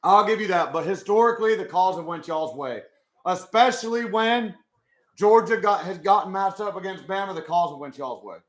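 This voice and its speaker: A young man with a hoarse voice, chatting casually with his live chat as he watches a football game. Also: high voice, expressive, normal speed, echoey room. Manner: chatty, swears, talks to chat. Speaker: live stream host.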